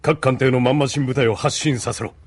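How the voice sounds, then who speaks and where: A man's voice: medium pitch, steady tone, a little fast, background noise, close to an everyday voice. An actor playing a stern, proud male military colonel in an anime audio drama. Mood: commanding.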